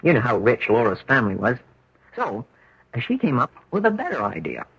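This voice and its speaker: An American actor playing an earnest, proud young surfer dropout in a 1970s radio drama, defensive and plucky. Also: male voice, mid-range voice, wildly swooping pitch, brisk, vocal fry, fairly bright tone, a little hiss or hum, full character voice. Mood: earnest, reflective. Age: young adult. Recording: dull, muffled vintage radio recording.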